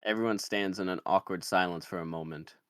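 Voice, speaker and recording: A man's voice: low-pitched, steady tone, fairly quick, a bit bright, clean tone, no echo, clean studio sound. A man reading screenplay stage directions as scripted narration.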